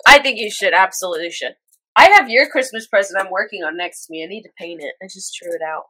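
A woman's voice: mid-range voice, fast talker, bright, forceful tone. A young woman co-hosting a podcast, chatting casually in a playful, teasing way and running through the episode list. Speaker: podcast co-host. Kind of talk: casual chat.

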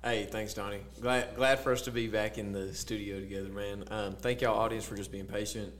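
A man co-hosting a faith podcast, telling stories in a casual, animated way with many fillers. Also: on the low side, brisk. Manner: storytelling, animated quotes, filler-heavy. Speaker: podcast co-host.

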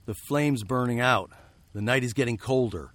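Weird-western radio lead: actor as a male fugitive, earnest, wary and lovelorn, medium pitch, dry studio sound. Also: moderate pace, fairly bright tone, no room sound, lightly acted. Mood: uneasy, disbelieving. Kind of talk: radio drama acting with narration.

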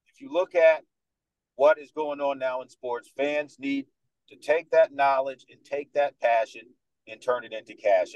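A man in his thirties with a Dominican American accent, speaking as a podcast guest in a confident, animated way. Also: mid-range voice, dry recording, muffled recording. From United States, New York. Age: 30s. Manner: confident, animated storyteller who acts out other people's lines. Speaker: guest, sports radio host. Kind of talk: casual podcast interview.

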